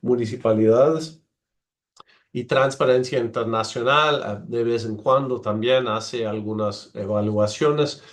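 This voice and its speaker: Man, panelist, native Portuguese speaker, slow, halting, video call. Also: medium pitch, steady tone. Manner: slow, halting, many fillers and restarts. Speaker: researcher and panelist. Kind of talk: virtual panel presentation.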